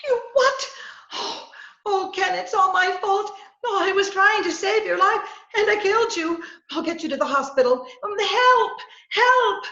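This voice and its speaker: A woman playing an excitable, headstrong young reporter in an old-time radio comedy-mystery, with theatrical, breathless exclamations. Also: extremely high pitch, measured pace, exaggerated voice. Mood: panicked. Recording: echoey, muffled home video-call recording.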